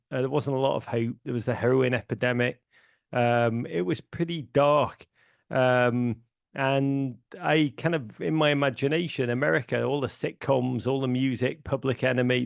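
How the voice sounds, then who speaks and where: Middle-aged man, Liverpool accent, gravelly voice, enthusiastic rambling guest, dead dry sound. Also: mid-range voice, dry recording. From Liverpool, England. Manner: enthusiastic storyteller, many restarts and fillers. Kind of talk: casual podcast chat.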